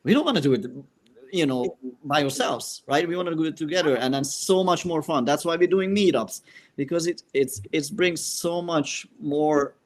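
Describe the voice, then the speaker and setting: A man from Hungary with a Hungarian accent, a podcast guest talking casually in a halting way, full of fillers and restarts. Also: fairly high pitch. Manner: halting, many fillers and restarts, leaning on "like" and "right?". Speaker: guest, real estate investor.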